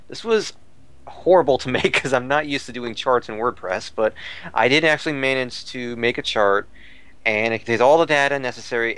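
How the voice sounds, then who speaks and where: A man's voice: medium pitch, a bit bright, clear, resonant tone, dry recording. A man talking casually as a podcast commentator about fan news, rambling and repeating phrases as he searches for words.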